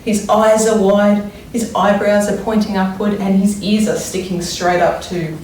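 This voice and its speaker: A woman teacher reading a storybook aloud to young children, expressively and deliberately, pausing to wonder aloud about it. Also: medium pitch, flat delivery, darkish tone. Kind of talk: expressive children's read-aloud with think-aloud explanations. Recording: echoey room, distant mic, faint noise.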